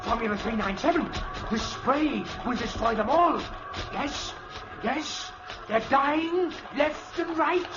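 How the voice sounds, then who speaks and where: A man's voice: very high-pitched, slow, over music. A male actor playing a paranoid, agitated professor driven mad by insects, in melodramatic 1940s radio style. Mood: crazed, defiant. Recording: dull old radio drama recording.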